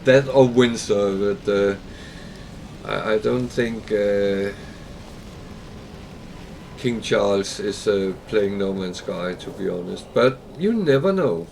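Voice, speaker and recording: A man's voice: fairly low pitch, slow pace, vocal fry, a little mellow, a little hiss or hum, rough recording. A man from Denmark with a Danish accent, chatting casually and hesitantly while livestreaming a game. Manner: chatty, rambling, hesitant with fillers. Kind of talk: casual gameplay livestream chat.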